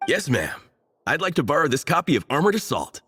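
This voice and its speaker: A man's voice: a little high, very animated, brisk, a bit bright, a little hiss or hum. An actor playing an earnest, self-deprecating young male book fan in a video game, speaking with rueful, geeky candor. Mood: eager.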